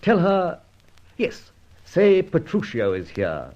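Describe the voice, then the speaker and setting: An actor playing a dashing, sardonic masked vigilante in an old-time radio drama, speaking in a theatrical, clipped and commanding way. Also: male voice, a little high, wildly swooping pitch, slow pace, darkish tone, heavily acted. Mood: brisk, wry. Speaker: lead actor in a radio detective serial. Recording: dull vintage radio recording.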